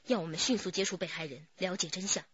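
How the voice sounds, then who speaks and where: 一名女演员在广播剧里饰演一位机灵、爱调侃、不慌不忙的年轻女警。. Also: female voice, on the low side, fast talker, a bit bright, husky, dry recording. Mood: serious, hushed. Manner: teasing, wry. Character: witty, teasing, unhurried young policewoman. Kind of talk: radio drama acting. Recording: dull, muffled audio.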